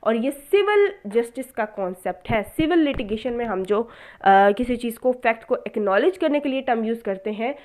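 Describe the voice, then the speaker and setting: A woman's voice: on the high side, expressive, moderate pace, darkish tone. A woman with a Pakistani accent giving an explanatory lecture, mixing in English terms, in a didactic way with questions she answers herself. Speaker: law lecturer.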